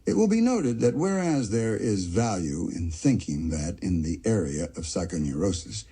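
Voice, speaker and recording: A man with a very deep, dark voice reads a scholarly essay aloud in a slow, measured way. His tone is heavily husky and breathy, and he pauses to mark each section heading. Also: sing-song. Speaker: reader of an essay. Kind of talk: audiobook-style reading of a psychoanalytic essay.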